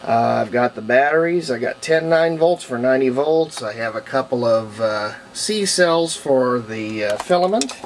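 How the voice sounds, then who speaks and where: Casting: American man, folksy hobbyist demonstrator with a mid-pitched voice, colloquial and thinking out loud. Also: slight background noise, poor audio. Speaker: hobbyist demonstrating homemade radio. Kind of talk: casual hands-on demonstration.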